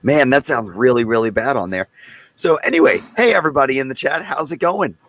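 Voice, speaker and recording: Hoarse man hosting a livestream. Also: medium pitch, brisk, fairly bright tone, slight background noise. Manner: loose and chatty, talking straight to viewers in the chat. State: hoarse, losing his voice. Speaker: host of a live gun channel stream. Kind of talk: casual livestream chat.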